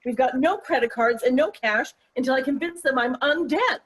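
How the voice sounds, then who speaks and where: A woman's voice: sits high, expressive, normal speed, low-quality recording. A woman playing a wry, exasperated middle-aged mother in a comic play read aloud. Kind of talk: table-read stage comedy acting.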